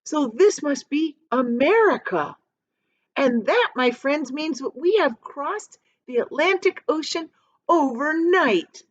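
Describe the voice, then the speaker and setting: A woman's voice: sits high, expressive, slow pace, muffled recording, pitched above the performer's own voice. A woman voicing an eager, confident young boy adventurer in a storybook reading. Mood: excited. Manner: exclaiming, eager.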